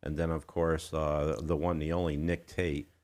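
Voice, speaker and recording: A man's voice: very deep voice, flat delivery, normal speed, a little mellow. A male radio host running a music interview show, speaking in an emphatic, showman-like manner.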